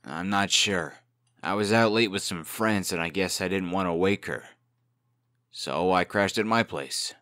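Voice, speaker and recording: A man's voice: sits low, a little slow, fairly bright tone, no echo, clean studio sound. A man playing a cocky, glib and defensive young fairy-tale trickster in a comic dub drama.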